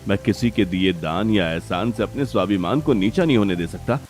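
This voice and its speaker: A man's voice: lowish voice, brisk, a little mellow, soft background music, close to an everyday voice. A man playing a proud, stubborn village father and elder brother in a cartoon family drama, speaking firmly and earnestly. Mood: firm refusal. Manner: firm, earnest, dignified. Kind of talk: Hindi cartoon family melodrama.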